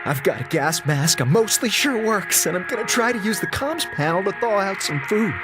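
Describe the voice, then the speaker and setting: An American actor playing an aggrieved space station communications officer in a radio drama, with theatrical, sarcastic griping. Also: male voice, high voice, expressive, normal speed. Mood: wry defiance. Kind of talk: radio drama acting, comic log monologue. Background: music.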